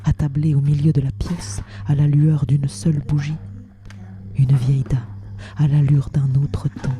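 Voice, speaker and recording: A woman narrating literary audio fiction in measured short phrases, with a hushed, breathy voice. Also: sits low, flat delivery. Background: music.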